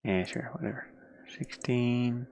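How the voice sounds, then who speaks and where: A man with a mid-pitched, gravelly voice talks himself through game design work in a casual, tired way. He mutters and grumbles at his software. The headset sound is close and dry. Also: fairly even intonation, measured pace, no echo. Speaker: indie game developer working on camera. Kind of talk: casual thinking-aloud screen-work stream.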